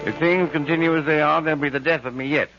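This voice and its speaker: An actor playing a mild, flustered middle-aged husband in a 1940s radio comedy, hesitant and dryly droll. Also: male voice, on the high side, steady tone, fast, over music, close to an everyday voice. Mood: wry. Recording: dull old radio recording.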